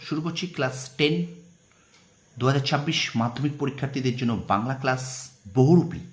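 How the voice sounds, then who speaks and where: A man's voice: medium pitch, brisk. A man teaching an informal exam-prep lesson, instructive and emphatic, repeating points and often checking that students follow. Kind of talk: informal exam lesson, reading out questions and answers.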